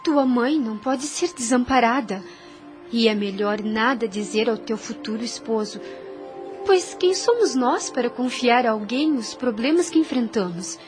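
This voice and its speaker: A devout young servant woman counsels with patient, forgiving earnestness. Her Brazilian-accented voice is somewhat high and soothing. The lines are formal radio soap dialogue over soft music. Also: lively intonation, a little slow. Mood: gently warning. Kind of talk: radio soap opera acting.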